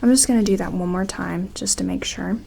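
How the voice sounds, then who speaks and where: A woman doing an ASMR medical roleplay as a gentle doctor, soft-spoken and slipping into whispers, with tentative, reassuring phrasing. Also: mid-range voice, a little fast, gravelly, a little hiss or hum. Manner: gentle, hesitant, reassuring clinician talk. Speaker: ASMR performer playing a doctor examining an alien.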